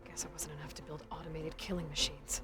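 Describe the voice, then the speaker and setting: A woman's voice: sits low, level tone, quick pace, close to an everyday voice. An actress voicing an earnest, direct young woman hunter in a video game. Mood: thoughtful.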